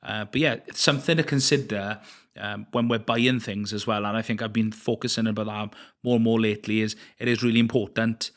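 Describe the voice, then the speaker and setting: A man hosting a podcast interview, enthusiastic but halting, often restarting his sentences. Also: on the low side, fairly even intonation, fairly bright tone. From Wales. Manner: hesitant, many restarts and fillers, enthusiastic. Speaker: podcast host. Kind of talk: casual interview chat.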